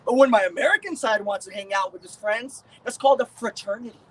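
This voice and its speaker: An actor doing a wry comic monologue. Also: male voice, high-pitched, fast talker, far from the mic, slight background noise, natural voice, poor audio. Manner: comic stand-up delivery. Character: joking man torn between two cultures.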